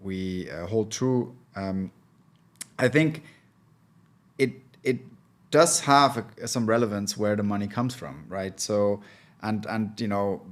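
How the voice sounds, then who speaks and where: Low-voiced man, hesitant. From Switzerland. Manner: thoughtful, hesitant, many filler sounds. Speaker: venture capital partner, guest. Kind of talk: casual interview talk.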